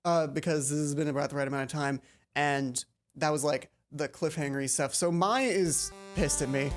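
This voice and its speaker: A woman's voice: deep voice, normal speed, faint music behind. Chatty woman playing a game. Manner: chatty, swears, excitable asides. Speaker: let's play host. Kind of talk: casual gameplay commentary.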